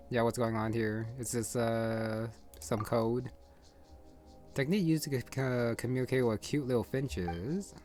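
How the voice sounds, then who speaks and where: A man narrates his video game playthrough in a casual, mid-pitched voice. He rambles and hesitates, thinking aloud, with game music playing behind him. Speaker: gaming video creator.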